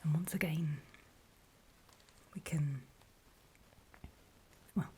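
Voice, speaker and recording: A woman playing a wary, paranoid zombie apocalypse survivor in a horror ASMR roleplay, in a soft breathy whisper with hesitant, confiding delivery. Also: low-pitched, full character voice. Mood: hushed, wary warning. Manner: slow, hesitant, confiding, with pauses.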